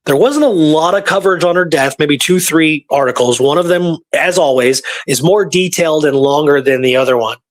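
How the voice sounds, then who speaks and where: A man's voice: a little high, fairly quick, hardly any pauses. A man telling stories in a casual, informal, colloquial way, as a guest researcher in a conversation.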